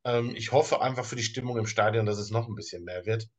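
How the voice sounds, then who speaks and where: A man with a German accent co-hosting a casual sports podcast, talking hesitantly with restarts. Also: lowish voice. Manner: hesitant, many fillers and restarts, slow in places. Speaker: podcast co-host.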